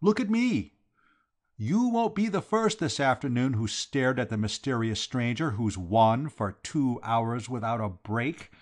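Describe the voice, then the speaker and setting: A man's voice: mid-range voice, animated, a little slow, few pauses, voice pushed up, close to an everyday voice. A man voicing a brash, gloating working-class London man who drops his aitches in a storybook reading. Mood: gloating, accusing.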